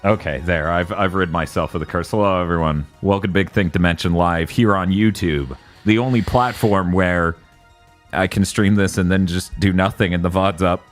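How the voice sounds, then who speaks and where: A man co-hosting a casual gaming podcast, animated and swearing as he tells stories. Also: sits low, normal speed, faint music behind. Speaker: podcast co-host.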